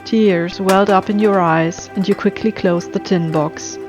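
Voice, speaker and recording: A woman reading a scripted spooky story as narrator, in a measured, atmospheric storytelling manner. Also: medium pitch, fairly even intonation. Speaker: storyteller and channel host. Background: music.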